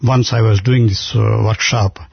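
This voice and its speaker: An older man with an Indian accent, telling stories in an earnest, halting way with many restarts. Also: on the low side, fairly even intonation, vocal fry, breathy edge, dry recording. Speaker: guest. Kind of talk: conversational storytelling. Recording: dull muffled lo-fi sound.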